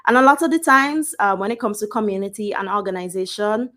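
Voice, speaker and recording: A woman giving the opening address of an online conference as its founder. She speaks in an organized way at a middle pitch and stops to thank the people who helped. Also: lively intonation, fast, sharp tone.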